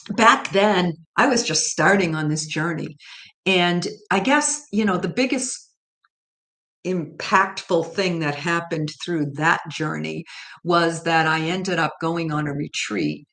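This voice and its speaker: A woman's voice: fairly low pitch, fairly bright tone. An older woman speaking casually and reflectively as she tells a personal faith story, with fillers and restarts. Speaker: podcast host sharing her story.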